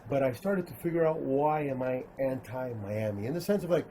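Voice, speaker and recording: A man's voice: mid-range voice, a little fast, dark tone, slight background noise. A man born in Cuba, hosting a casual interview and often chiming in with short agreements. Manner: frequent short agreeing replies. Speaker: podcast host.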